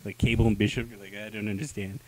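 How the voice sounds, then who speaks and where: A man's voice: on the low side, little pitch movement, quick pace, no room sound, poor audio. A young man hosting a podcast, chatting casually about comics into a handheld microphone. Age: young adult. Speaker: podcast host. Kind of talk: casual comic book review chat. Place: small room, indoors.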